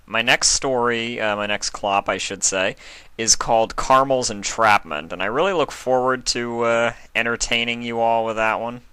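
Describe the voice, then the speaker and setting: A man's voice: medium pitch, normal speed, bright, forceful tone, clear, resonant tone, no room sound. A man hosting a fan fiction reading channel, talking casually and directly to his listeners.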